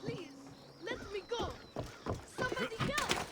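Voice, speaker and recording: A woman's voice: very high-pitched, measured pace. A woman voicing a panicked, defiant young boy in a video game. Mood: terrified. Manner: panicked, shrieking. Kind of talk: dramatic video game acting.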